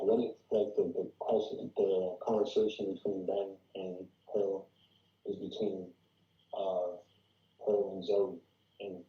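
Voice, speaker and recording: A man speaks in a low, very even monotone, giving casual notes in a group discussion. He reasons things through with probing questions. The recording is dull and echoey. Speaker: participant giving script feedback at a table read.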